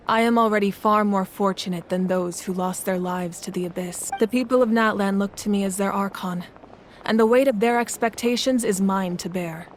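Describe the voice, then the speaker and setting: A woman playing a noble, dutiful war leader in a video game, speaking in a calm, measured, formal way. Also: mid-range voice, slight background noise, close to an everyday voice. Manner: composed, measured, earnest, formal. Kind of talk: scripted video game dialogue.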